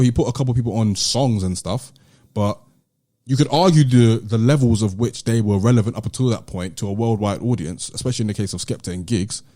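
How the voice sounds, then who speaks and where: A man's voice: medium pitch, mellow. A British man hosting a podcast, debating music casually and emphatically, repeating himself to drive points home. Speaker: podcast host.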